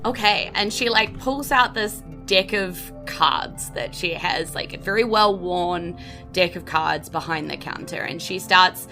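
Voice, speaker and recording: A woman game master improvising narration for a tabletop game in a casual, halting, descriptive way. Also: fairly low pitch, bright, forceful tone, soft background music.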